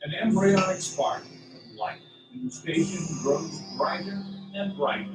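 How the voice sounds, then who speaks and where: A man narrating a radio play as a scripted story, in a theatrical, deliberate, suspenseful way. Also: sits high, expressive. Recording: roomy, distant, music behind. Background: music.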